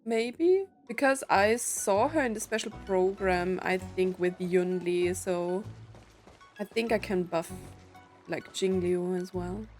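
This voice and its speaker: A woman's voice: lowish voice, slow pace, a little mellow, faint music behind. A woman giving casual, chatty commentary on a game she is playing, thinking aloud as she goes. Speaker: gaming streamer.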